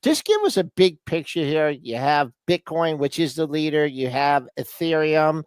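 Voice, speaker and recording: A man hosting a casual live market show, lively and playful, asking long rambling questions. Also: on the high side, moderate pace, smooth, no echo. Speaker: show host.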